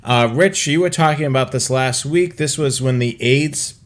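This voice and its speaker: A man hosting a casual talk, explaining things in a halting, conversational way. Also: mid-range voice. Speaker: host.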